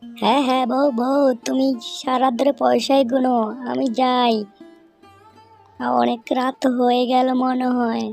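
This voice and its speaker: A sly male cartoon thief, acted by a woman, greedy and furtive. He mutters repetitively in a gravelly, subdued voice, with music underneath. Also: sits high, flat delivery, measured pace, a little mellow. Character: sly, greedy male thief, hen-pecking-free husband. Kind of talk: amateur cartoon dubbing.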